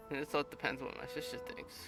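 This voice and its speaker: Low-voiced woman streamer, dry. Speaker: game streamer. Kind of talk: reading game dialogue aloud on a livestream. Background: game music.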